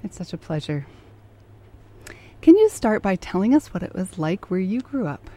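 A woman's voice: medium pitch, very animated, moderate pace, warm tone. A woman hosting an interview program, introducing and questioning her guest. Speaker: radio interview host.

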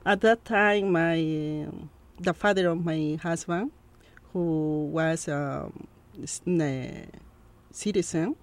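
A woman from Peru in her thirties with a Peruvian Spanish accent, a guest in an interview, speaking halting English with many fillers. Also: fairly low pitch. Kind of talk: interview conversation.

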